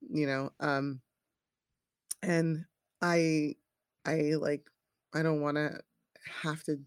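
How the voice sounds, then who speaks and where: A woman in her forties, a parent who works in scrubs, chatting casually in halting speech with many restarts. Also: low-pitched, little pitch movement, clean tone, no room sound, studio quality. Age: 40s. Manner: halting, restarts often, says "like" and "you know". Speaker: healthcare worker and parent. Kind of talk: casual chat.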